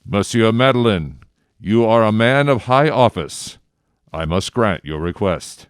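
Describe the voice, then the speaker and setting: An actor playing a stern, authoritative presiding judge in a radio drama, with measured delivery. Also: male voice, on the low side, expressive, smooth, no room sound, clean studio sound.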